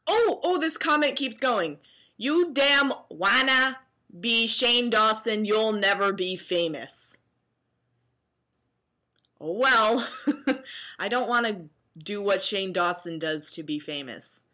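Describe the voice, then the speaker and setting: A woman in her twenties with a bright, forceful voice and an unhurried pace. She moves between casual sarcastic commentary and exaggerated, mock-dramatic reading, speaking into a webcam indoors. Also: mid-range voice, lively intonation. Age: 20s. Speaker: vlogger. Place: small dim bedroom, indoors.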